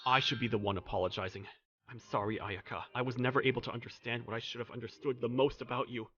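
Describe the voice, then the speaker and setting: A man's voice: mid-range voice, steady tone, fast, fairly bright tone, lo-fi sound, lightly acted. An actor playing a devoted, sincere middle-aged single father in a manga dub, narrating and speaking in character. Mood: remorseful, tender. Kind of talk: scripted anime-style dub narration and dialogue. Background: music.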